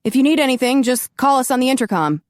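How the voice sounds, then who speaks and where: A woman's voice: high-pitched, expressive, quick pace, dry recording, studio quality, pitched above the performer's own voice. A woman narrator voicing a male soldier guard who asks with polite, dutiful concern.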